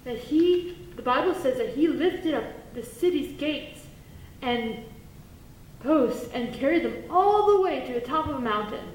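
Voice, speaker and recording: A woman voicing a cheerful, bossy puppet who teaches Bible stories to children in an exaggerated way. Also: a little slow. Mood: storytelling. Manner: exaggerated, animated, talks to children. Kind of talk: children's puppet show storytelling. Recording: echoey room with low rumble and noise.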